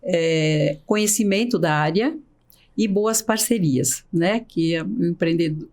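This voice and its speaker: An older woman, a long-serving nurse, speaking in a measured, reflective way as an interview guest. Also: lowish voice, darkish tone. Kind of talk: casual interview chat.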